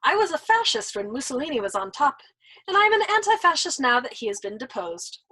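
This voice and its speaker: A woman voicing a shameless, worldly old man over a hundred, teasing and smug, in a breathy voice, as she reads a storybook aloud. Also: high-pitched, very animated, brisk, sharp tone, voice pushed up, heavily acted. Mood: suave.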